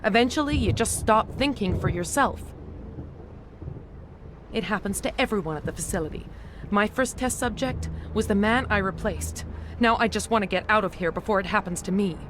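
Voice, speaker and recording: A woman's voice: mid-range voice, animated, moderate pace, background noise, lightly acted. An actress playing a frightened, self-preserving alien scientist in a video game, anxious as she explains. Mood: uneasy, ominous. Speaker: neurospecialist researcher.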